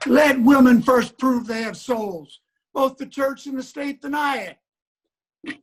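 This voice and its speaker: A man's voice: extremely high pitch, takes it slowly, slight background noise. Guy acting as stuffy disapproving 1800s newspaper man, declaiming like on stage. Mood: scornful. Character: pompous, disapproving 19th-century newspaper writer opposed to women's rights. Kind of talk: reader's theater recitation.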